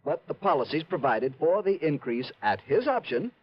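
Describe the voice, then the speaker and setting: A man's voice: a little high, big pitch swings, moderate pace, slightly rough. An actor playing a fussy worrywart American insurance man with a hesitant, pedantic manner in a 1950s radio drama. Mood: fussy, precise. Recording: dull vintage radio recording.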